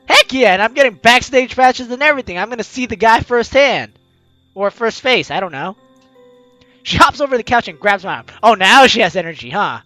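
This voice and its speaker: A video game host with an animated, teasing, exclamatory way of talking, reading aloud and commenting playfully. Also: medium pitch, a little fast, no room sound, faint music behind. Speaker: let's-play video host.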